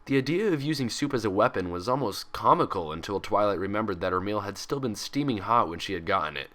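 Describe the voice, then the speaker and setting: Man, story narrator, lowish pitch, sharp bright tone, scripted read-aloud delivery. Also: lively intonation, quick pace. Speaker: fan fiction reader and host.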